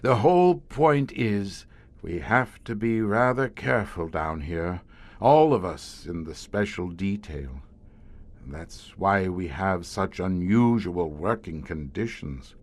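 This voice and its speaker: An actor playing a weary, grim professor who commands a secret police squad, speaking formally and hesitantly in an audio horror drama. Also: male voice, low-pitched, animated. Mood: uneasy, guarded. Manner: measured, hesitant, formal, darkly matter-of-fact.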